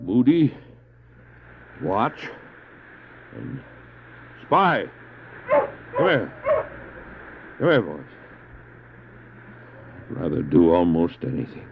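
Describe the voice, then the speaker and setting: Male actor for devout, resolute doctor lost with his dog team; theatrical delivery with wide swings and gravelly edge, lo-fi cassette radio drama. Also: low-pitched, wildly swooping pitch, a little hiss or hum, heavily acted. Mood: torn, sorrowful. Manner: earnest, theatrical, halting when speaking in character. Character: stranded doctor and dog sledder, anguished but resolute, devout. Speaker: narrator and lead actor. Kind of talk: dramatized first-person adventure narration, audio drama. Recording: dull, lo-fi old cassette drama with music.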